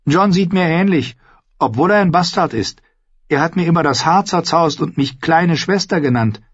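A man's voice: a little high, lively intonation, normal speed, slightly rough, no room sound, lo-fi sound, voice pushed up. A man voicing a feisty, suspicious young noble girl in an audiobook, blunt and challenging. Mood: fond. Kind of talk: audiobook character voice.

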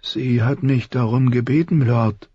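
A man's voice: low-pitched, steady tone, takes it slowly, creaky, a little mellow, husky, dry recording, muffled recording, full character voice. A man voicing a timid, frightened butcher's boy in his teens in an audiobook, meek and murmuring. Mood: frightened, pleading.

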